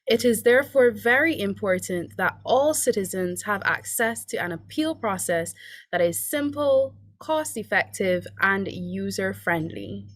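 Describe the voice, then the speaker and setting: A woman with a Trinidadian accent, a workshop participant reading a prepared statement over a video call, warm and smiling with a sing-song lilt. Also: mid-range voice, no room sound.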